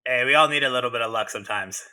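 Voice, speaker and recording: A man's voice: medium pitch, very fast, bright, forceful tone. A man in his thirties hosting a podcast interview, talking loosely and casually and asking long, rambling questions. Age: 30s. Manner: chatty, loose, asks long multi-part questions. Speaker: podcast host.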